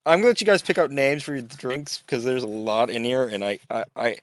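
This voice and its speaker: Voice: medium pitch, a little fast, dry recording. A person in their twenties chatting casually as a player in a tabletop game. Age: 20s. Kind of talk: casual chat.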